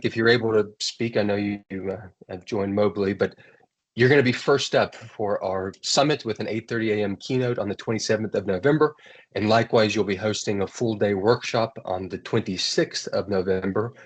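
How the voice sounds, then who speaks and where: An American man hosting an online webinar, introducing the event and speakers in a relaxed, rambling way. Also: on the low side. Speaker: webinar host.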